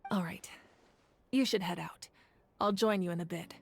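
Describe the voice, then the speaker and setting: A woman voicing a composed, wise warrior leader in a video game, measured, formal and reassuring. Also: on the low side, gravelly, slightly rough.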